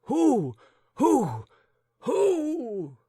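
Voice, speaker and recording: A man voicing a fretful, excitable old gentleman who fusses in a raised voice. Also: high voice, slow, gravelly, no room sound, clean studio sound, pitched above the performer's own voice, exaggerated voice. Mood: flustered. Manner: fussing, excitable.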